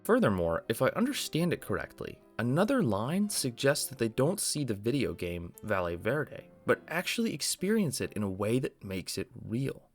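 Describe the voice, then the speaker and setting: A young man hosting a video essay, reading scripted narration in a measured storytelling explainer style. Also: mid-range voice, big pitch swings, faint music behind. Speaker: video essay host explaining an analog horror series.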